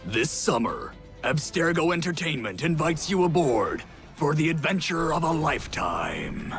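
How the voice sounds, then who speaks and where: Slow, wildly swooping trailer announcer. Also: male voice, big pitch swings, creaky, fairly bright tone, soft background music, exaggerated voice. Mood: bombastic, hyped. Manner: theatrical, booming. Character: bombastic male trailer announcer selling pirate adventure. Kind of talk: dramatic movie-trailer voice-over.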